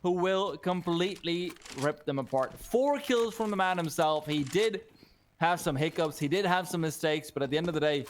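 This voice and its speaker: A man casting a live esports match, English not his first language, energetic and jokey, laughing often. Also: fairly high pitch, normal speed, slight background noise. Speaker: esports caster. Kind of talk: live play-by-play commentary.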